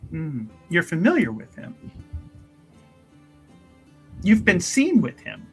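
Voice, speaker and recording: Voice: medium pitch, wildly swooping pitch, slow, a bit bright, slightly rough, full character voice. A player voicing a polite, hesitant female church investigator who repeats words while probing, in a tabletop roleplay. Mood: inquisitive. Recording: music behind the voice. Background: music.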